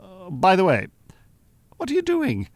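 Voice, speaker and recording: An actor with an Irish accent playing a smooth, bossy, pompous hypnotist and con-artist in a radio drama. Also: male voice, high voice, huge pitch swings, measured pace, dry recording, full character voice. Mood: formal, flustered.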